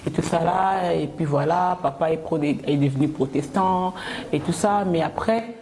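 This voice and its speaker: A young woman from Kinshasa, a singer interviewed as guest, speaking in a hesitant, halting way. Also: low voice, mellow, a little hiss or hum. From Congo (Kinshasa). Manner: hesitant, many pauses and laughs. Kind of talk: casual interview.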